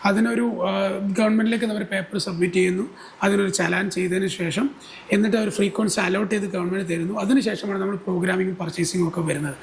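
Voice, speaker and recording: A man's voice: high voice, steady tone, fast, vocal fry, a little hiss or hum, poor audio. A man giving explanatory answers as a guest expert, mixing in English terms. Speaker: guest expert on a company podcast.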